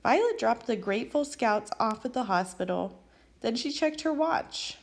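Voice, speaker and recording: A woman reading a children's picture book aloud as an expressive storyteller. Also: on the high side, normal speed. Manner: expressive, animated storytelling with acted character lines. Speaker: storybook reader.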